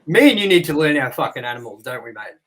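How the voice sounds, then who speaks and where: A man's voice: a little high, very animated, fast, a bit bright. A young man hosting a casual review podcast, joking crudely and swearing often. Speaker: podcast host.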